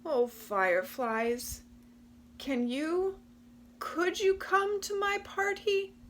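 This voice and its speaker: Woman putting on an eager high male possum. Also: slow, a little hiss or hum. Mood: pleading. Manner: excited calling, pleading.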